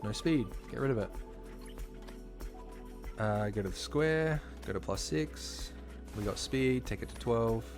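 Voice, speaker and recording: A man talking casually while live-streaming a game, slightly hoarse, chatty and full of fillers, from a home streaming setup. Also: mid-range voice, animated, unhurried, pauses often. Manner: chatty, filler-heavy, talks while playing. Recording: clear background music under voice.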